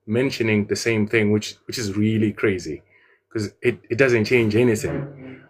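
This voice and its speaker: A man from Zimbabwe giving a casual, hesitant comment in an online debate room. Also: on the low side. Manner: hesitant, many fillers. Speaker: debate participant.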